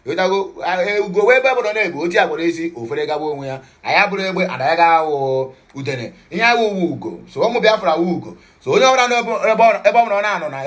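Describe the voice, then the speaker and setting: A man's voice: sits high, fairly bright tone. A man with a Nigerian accent hosting a casual news commentary from a home studio, playful and mixing in Pidgin and Igbo. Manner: playful, switches between English, Pidgin and Igbo.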